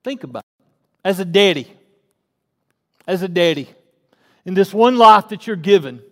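A man's voice: high voice, animated, normal speed, fairly bright tone, in a large hall. A man, preaching a casual, folksy sermon to his congregation and rising to fervent emphasis. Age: 40s. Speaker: pastor. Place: church sanctuary.

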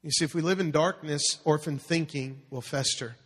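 A man preaching to a congregation, rising and dropping as he calls on them to repeat his words. His voice sits a little high for a man, and a long reverb trails every phrase. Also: moderate pace, husky. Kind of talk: sermon.

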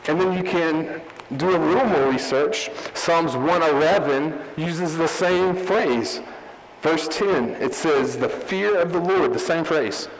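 A man delivers a teaching sermon in an earnest, explanatory way, sometimes restarting a phrase mid-thought. His voice sits a little high for a man. A faint long reverb and light background noise hang around him. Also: slow pace. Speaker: pastor.

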